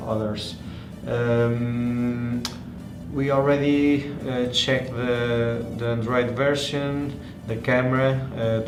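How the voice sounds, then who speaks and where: Non-native english guy doing a gadget demo, slow n halting, guitar music behind him. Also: medium pitch. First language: not English. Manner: halting, searching for words. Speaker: tech reviewer. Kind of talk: unscripted hands-on product demonstration. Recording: echoey room with music behind.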